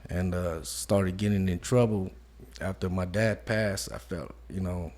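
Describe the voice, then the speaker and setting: A man in his thirties from Modesto, California, talking quietly and reflectively as an interview guest and leaning often on "you know". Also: low voice, steady tone, unhurried. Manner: soft-spoken, reflective, many "you know" fillers. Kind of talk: casual conversation.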